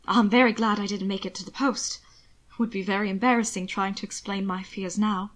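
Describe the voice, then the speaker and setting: A woman voicing a weary, polite gentleman in an audiobook, earnest and gently firm. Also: medium pitch, steady tone, fairly quick, natural voice. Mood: apologetic, tender. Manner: courteous, earnest, subdued. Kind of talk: audiobook character dialogue.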